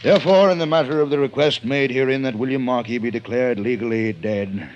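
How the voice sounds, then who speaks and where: An actor playing a measured, authoritative male judge with formal, deliberate phrasing in a vintage radio drama. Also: medium pitch, lo-fi sound, close to an everyday voice. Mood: solemn, judicial. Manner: deliberate, pompous legal phrasing. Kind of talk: 1950s radio drama acting. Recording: vintage radio recording, faint noise.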